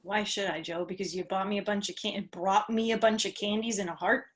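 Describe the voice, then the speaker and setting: A woman's voice: mid-range voice, fairly even intonation, fairly quick, lightly acted. An actress in a dramatic table reading, playing a wounded, wary woman with a blunt, sardonic way of rebuffing a suitor. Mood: guarded, skeptical.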